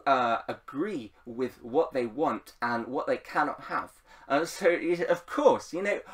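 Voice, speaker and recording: Man with a very animated, swinging pitch. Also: recorded from a distance. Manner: rambling, playful, repeats phrases for emphasis. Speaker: YouTube writing coach and host. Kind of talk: casual unscripted advice video.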